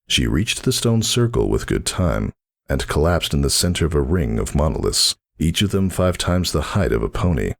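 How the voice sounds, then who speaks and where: Very deep, gravelly male narrator. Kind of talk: dramatic scripted story narration.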